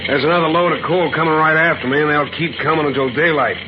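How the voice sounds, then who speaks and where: Folksy, businesslike delivery driver played by a man, highish voice, hurried. Old radio drama, loud noise behind. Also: steady tone, lightly acted. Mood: hurried, chatty. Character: brisk working-class coal truck driver, hurried and plain-spoken. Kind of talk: 1950s radio drama acting. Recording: muffled, very noisy old radio recording.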